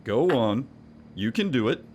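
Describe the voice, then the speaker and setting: An old, kindly Santa Claus, acted by a man for an audio drama, reassuring yet gently in command. His mid-pitched voice moves in lively swings, over faint noise and ambience. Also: unhurried, natural voice. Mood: encouraging. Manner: reassuring, quietly authoritative.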